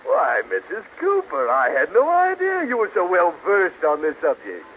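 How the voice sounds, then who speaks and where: A man playing a stuffy, formal civic gentleman who hems and is easily flattered, in an old-time radio comedy. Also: high voice, moderate pace. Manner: pompous, formal, hemming. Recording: muffled old radio recording, faint noise.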